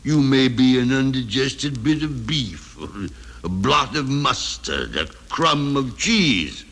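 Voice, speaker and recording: An actor playing a crotchety, blustering old miser with a raspy voice in an old-time radio drama. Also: male voice, medium pitch, animated, takes it slowly, a bit bright, full character voice. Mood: defiant. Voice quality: raspy, husky. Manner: blustering, theatrical, exclamatory. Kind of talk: 1930s-40s radio drama acting.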